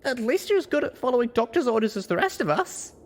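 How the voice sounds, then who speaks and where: A man's voice: very high-pitched, big pitch swings, fast talker, voice pushed up, heavily acted. A man voicing an anxious young female pony heroine in an audiobook story, asking things earnestly and uneasily.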